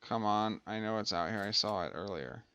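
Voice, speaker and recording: A man's voice: fairly low pitch, normal speed, pushed and bright, clear, resonant tone. A man giving casual let's play commentary as a gaming host, thinking aloud and muttering to himself.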